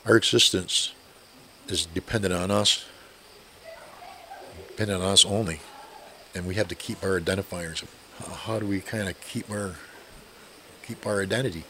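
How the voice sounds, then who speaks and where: An older man speaking slowly and reflectively in an interview, pausing with frequent fillers. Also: deep voice, fairly even intonation, sharp tone, slight background noise. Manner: measured, hesitant with fillers. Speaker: interviewee, Seneca elder.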